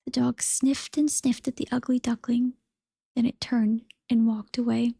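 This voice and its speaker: A woman softly whispering a children's storybook as narrator. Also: fairly high pitch, flat delivery, measured pace, no room sound, studio quality. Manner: soft, breathy whispering.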